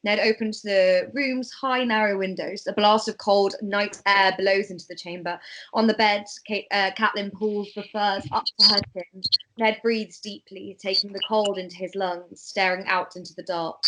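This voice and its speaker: Woman narrating script directions, mid-pitched. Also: steady tone, moderate pace, background noise. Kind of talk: scripted narration in an amateur table read.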